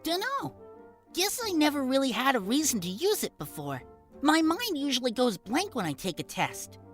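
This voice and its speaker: A woman's voice: high voice, huge pitch swings, moderate pace. A woman voicing a clever, stubborn but laid-back schoolboy pony colt in a cartoon fan audio story. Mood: reluctant. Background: music.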